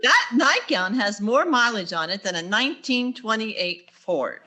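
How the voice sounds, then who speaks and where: An actress playing a wry English teacher with dry, sardonic delivery in 1940s radio sitcom style. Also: female voice, on the high side, animated, measured pace, bright, forceful tone, slight background noise.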